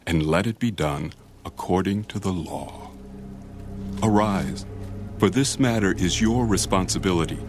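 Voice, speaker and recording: A man's voice: deep voice, unhurried. An actor playing an earnest Israelite spokesman in a dramatised scripture reading, solemnly urging his people. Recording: music behind the voice.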